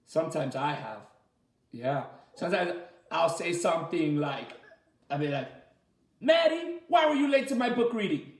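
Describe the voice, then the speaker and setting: A man leading a children's story time as a teacher, reading aloud and asking the listeners simple questions in an animated way. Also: a little high, moderate pace. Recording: roomy, echoey sound.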